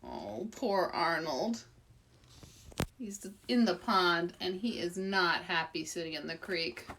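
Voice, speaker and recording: A woman reading aloud at children's story time as an expressive, dramatic storyteller. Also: lowish voice, takes it slowly, far from the mic, a little hiss or hum, rough recording. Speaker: author reading aloud, host.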